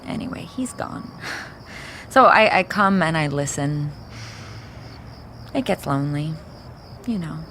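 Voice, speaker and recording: An actress playing a lonely, forthright mourner in a fiction podcast, with a gravelly fry and a terse, casual manner. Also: female voice, lively intonation, measured pace, pauses often, fairly bright tone, slight background noise, heavily acted. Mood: wistful, probing. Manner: casual, low-key, terse. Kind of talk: naturalistic fiction podcast acting.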